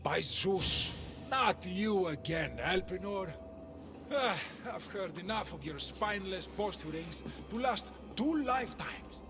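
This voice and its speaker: Over music, a contemptuous escaped prisoner in a game cutscene. His voice sits fairly high and leaps about, full of exasperated, defiant scorn. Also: lively intonation, slow pace, heavily acted. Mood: exasperated, scornful. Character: contemptuous, irritable male escaped underworld prisoner. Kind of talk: video game cutscene acting. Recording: music behind.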